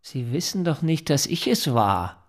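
An actor in a German radio drama playing an anxious, evasive, articulate father who speaks in a deliberate, formal, earnest way. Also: fairly high pitch, big pitch swings. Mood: defensive, hushed.

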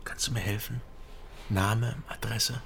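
A man's voice: lowish voice, fairly even intonation, a little slow, a bit bright, a little hiss or hum. A man playing a laconic, world-weary East German with a weakness for drink and love, in a radio crime drama with understated delivery. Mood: hesitant. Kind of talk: radio crime drama, dialogue and brooding narration.

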